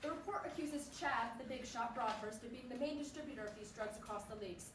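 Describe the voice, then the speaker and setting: A woman in a staged play reading playing an excitable baseball commentator and pressing news reporter. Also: on the high side, quick pace, natural voice. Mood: urgent. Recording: echoey hall, distant mic, faint noise.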